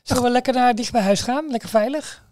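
A man's voice: very high-pitched, quick pace, background noise. A man co-hosting a podcast, talking in casual panel chat. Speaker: podcast co-host.

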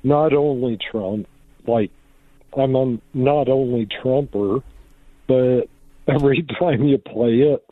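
Slow-talking man on a tinny phone line. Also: medium pitch, no room sound. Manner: effusive fan, informal. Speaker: radio show caller. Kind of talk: casual chat.

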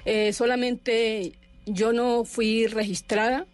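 A middle-aged woman from Colombia, telling her life story in an interview, halting and restarting often, sitting back from the microphone. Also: a little high, level tone. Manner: halting, with many restarts and fillers. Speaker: interview guest. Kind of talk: personal testimony in an interview. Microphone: sitting too far from the microphone.

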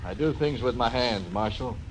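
An actor voicing a disgraced frontier doctor turned gambler, terse and sardonic, in 1940s radio acting style. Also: male voice, mid-range voice, moderate pace, a little hiss or hum, close to an everyday voice. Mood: terse, bitter. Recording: dull old radio recording.

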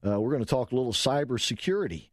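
Relaxed man hosting, dry studio sound. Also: medium pitch, fast talker, no echo. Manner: relaxed, rambling questions with many "uh"s. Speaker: radio show host. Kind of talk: interview hosting.

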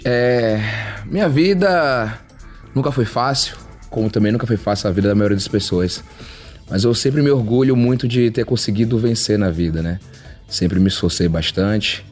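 A man's voice: on the low side, darkish tone. A man in his thirties from Salvador, talking in a casual podcast monologue, open and conversational, with frequent tag questions. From Brazil, Salvador. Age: 30s. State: a bit nervous. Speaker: reality show contestant.

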